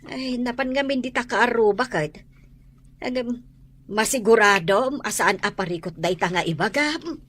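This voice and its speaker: A woman's voice: fairly high pitch, measured pace, a bit bright. A woman acting a caring, anxious rural mother in a radio drama, fretful and coaxing, with a Filipino accent. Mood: concerned, curious. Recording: radio drama with noisy ambience.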